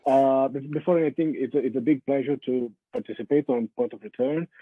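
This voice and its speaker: A man from Brazil, a guest artist on a video-call panel, speaking haltingly with many fillers and restarts. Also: medium pitch, steady tone, breathy edge, dry recording. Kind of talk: panel discussion. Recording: muffled phone-like line, dry.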